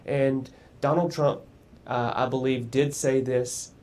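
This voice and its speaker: Man making a slow, deliberate political argument. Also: medium pitch, steady tone, darkish tone, slight background noise. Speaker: political commentator in a clip. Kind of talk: broadcast political commentary.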